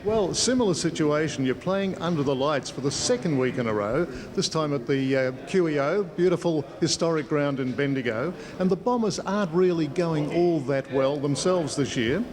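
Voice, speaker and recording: Mature male sports interviewer, Australian, voice slightly high, conversational broadcast questioning. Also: animated, moderate pace, few pauses, a crowd in the background.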